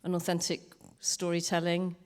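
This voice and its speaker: Woman, film producer, panel talk, earnest, stumbling, fairly low voice. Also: completely flat delivery, normal speed, darkish tone, clean tone. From Wales. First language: Welsh. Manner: earnest, self-deprecating, stumbles over words. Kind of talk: panel discussion.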